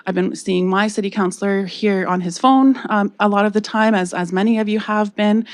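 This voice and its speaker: A woman with a Canadian accent speaking softly and hesitantly into a podium microphone, giving public comment in a council chamber. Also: medium pitch, fairly even intonation, normal speed. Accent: Canadian English. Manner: soft-spoken, hesitant, earnest. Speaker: public delegate.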